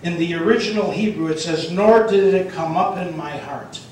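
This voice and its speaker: A man's voice: high-pitched, moderate pace, a little mellow, a little hiss or hum. An older man preaching a sermon in a church sanctuary, emphatic and questioning the congregation, often tagging points with "okay?". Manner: emphatic, asks the room questions and answers them, ends points with "okay?"; moves around the stage and gestures while preaching. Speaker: pastor. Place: church sanctuary with large wall text. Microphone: room mic, speaker far from it. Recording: very echoey church from a distance.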